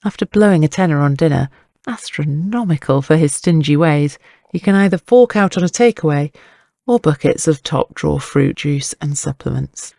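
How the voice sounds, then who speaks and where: A man's voice: on the high side, animated, normal speed, gravelly, a little mellow, no echo, studio quality. A man reading scripted dramatic narration for an audiobook, with a wry, wordy storytelling manner.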